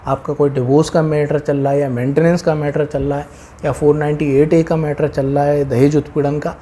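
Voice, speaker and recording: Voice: mid-range voice, little pitch movement, brisk, warm tone, a little hiss or hum. A speaker with an Indian accent explaining law informally to viewers, repeating points and mixing in English legal terms.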